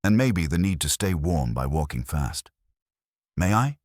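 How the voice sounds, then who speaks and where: A man's voice: very deep voice, big pitch swings, a little slow, a little mellow, dry recording, clean studio sound, full character voice. A man voicing a cheeky, breezy private investigator in an audiobook mystery. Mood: breezy, probing. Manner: breezy, wry. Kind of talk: audiobook character voice.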